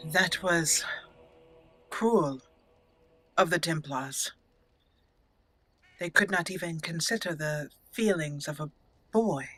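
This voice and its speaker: Bright-voiced woman narrating a roleplay let's play. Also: deep voice, slow pace, long pauses, husky, soft background music, poor audio. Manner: reads character dialogue aloud expressively. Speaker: gaming channel host.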